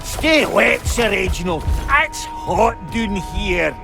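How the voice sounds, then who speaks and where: Game role: rowdy male pirate, extremely high, gravelly, drawn-out exclamations over loud music. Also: lively intonation, exaggerated voice. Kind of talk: video game voice acting.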